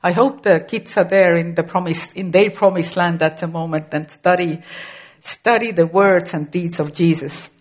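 A woman's voice: fairly low pitch, normal speed. A middle-aged woman from Estonia, a native Estonian speaker with an Estonian accent, preaching a sermon carefully and with occasional restarts.

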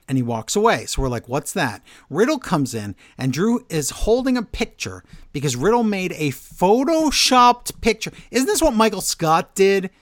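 A man co-hosting a podcast, recapping wrestling shows in an animated, storytelling way and acting out what the wrestlers said. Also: a little high, dry recording, clean studio sound. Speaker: podcast co-host. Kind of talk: casual wrestling recap chat.

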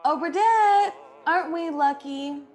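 Woman playing an earnest high-voiced young sweetheart. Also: a little slow, darkish tone. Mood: adoring. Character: earnest, flustered, adoring young woman Miss Brooks. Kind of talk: classic radio play acting.

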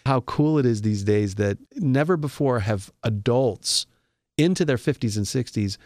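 A man's voice: mid-range voice, moderate pace, no echo. Rambling man musing in a dry room. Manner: rambling, musing, animated asides. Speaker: podcast host. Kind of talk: casual conversational chat.

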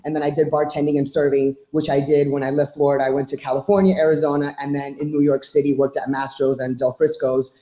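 A woman's voice: deep voice, little pitch movement, quick pace, hardly any pauses, dark tone. A woman in her forties from Miami, speaking bluntly and emphatically as a fitness coach answering interview questions. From Miami, United States. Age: 40s. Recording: roomy, echoing sound.

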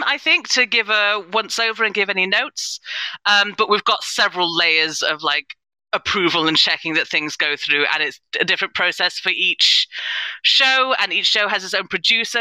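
A woman's voice: on the low side, bright, forceful tone, smooth, no room sound, low-quality recording. A woman with a British accent, speaking conversationally as a guest on a podcast panel. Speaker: podcast panellist, audio drama performer. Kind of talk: panel discussion.

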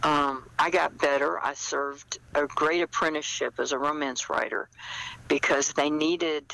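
Older lady guest chatting, crisp bright edge w lots of creak, thinks out loud. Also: deep voice, steady tone, unhurried, dry recording, rough recording. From small-town United States. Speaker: guest, novelist. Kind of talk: casual interview chat.

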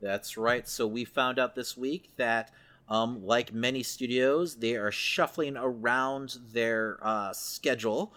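A man's voice: mid-range voice, slow, pushed and bright. A man co-hosting a podcast, chatting casually through entertainment news. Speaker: podcast co-host.

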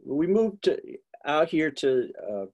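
A man's voice: a little high, big pitch swings, takes it slowly, a little mellow, muffled recording. A middle-aged man from Massachusetts, a musician guest in an interview, telling stories with jokes, fillers and restarts. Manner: chatty, joking, many fillers and restarts. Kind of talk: relaxed interview storytelling.

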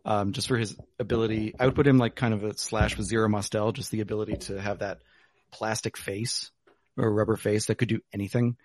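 Low-voiced man chatting with fillers. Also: fairly even intonation, fairly quick. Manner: rambling, lots of fillers. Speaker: podcast host. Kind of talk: casual chat over whiskey. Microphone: remote call.

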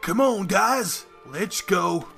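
A woman's voice: medium pitch, expressive, slow, gravelly, a bit bright, faint music behind, heavily acted. Woman playing an eager young villager. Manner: eager, earnest. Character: excited young villager, would-be apprentice.

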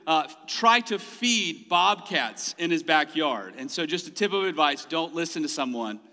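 A man's voice: fairly high pitch, sharp tone. A man preaching a sermon, telling funny self-deprecating stories and laughing often as he talks. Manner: humorous self-deprecating storytelling; laughs often mid-sentence. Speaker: pastor. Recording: long hall reverb.

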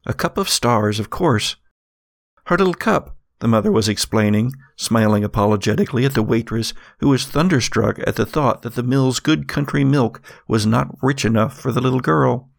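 A man working as game master, narrating a gothic story in a deliberate, theatrical way. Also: medium pitch, gravelly, no echo, clean studio sound. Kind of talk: dramatic scripted narration and game narration.

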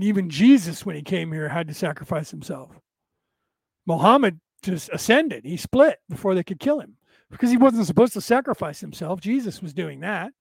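Raspy, hoarse male host with a high voice, rambling, emphatic, talking straight to his audience. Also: no echo. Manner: rambling, emphatic, addresses listeners directly. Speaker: show host. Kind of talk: late-night spiritual talk show monologue.

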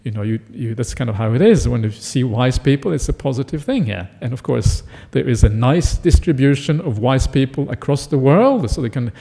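Gravelly, mellow-voiced Norwegian-born monk teaching. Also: male voice, mid-range voice, a little fast, few pauses. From Norway. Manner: relaxed, conversational, many "uh" fillers and "yeah?" checks. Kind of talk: Dharma talk.

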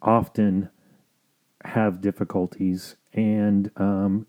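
A man with an American accent hosting an explanatory podcast as a counselor, talking in a measured, earnest way with pauses. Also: fairly low pitch, level tone, narrow, tinny audio. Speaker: podcast host and family counselor.

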